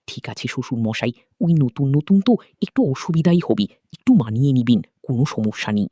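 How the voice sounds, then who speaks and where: A woman's voice: very deep voice, animated, moderate pace, warm tone, dry recording, clean studio sound, pitched below the performer's own voice. A woman voicing a shy, obliging young newlywed daughter-in-law, speaking politely in an audio story.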